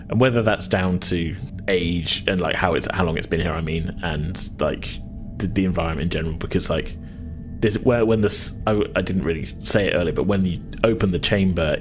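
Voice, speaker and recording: A man with a low voice runs a tabletop roleplaying game as game master. He explains in a chatty, halting, improvised way, with clear music playing behind him. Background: background music.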